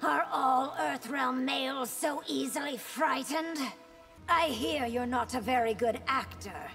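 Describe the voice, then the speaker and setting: An actress voicing a scornful, proud young warrior princess in a video game, speaking in a formal, haughty way. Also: female voice, high-pitched, measured pace, fairly bright tone, big-room sound, soft background music. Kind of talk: video game fight-intro banter.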